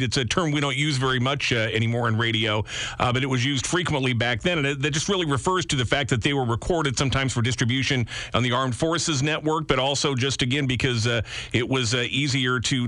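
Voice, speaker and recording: A man's voice: mid-range voice, bright, forceful tone, no echo. An American man hosting a conversational radio interview, wordy and feeling his way through long questions.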